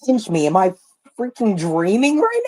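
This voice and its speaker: Young dude on a phone stream, sore throat so his voice cracks, super hyped and rambly. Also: sits high. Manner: excitable, fast, slangy, exclaims often. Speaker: watch party streamer. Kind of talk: excited live stream chat.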